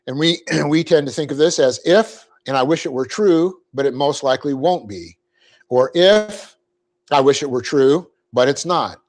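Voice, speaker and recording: A man teaching a Bible class, explaining Greek grammar step by step, spelling out words and clearing his throat often. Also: on the high side, expressive, normal speed. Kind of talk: verse-by-verse Bible class lecture.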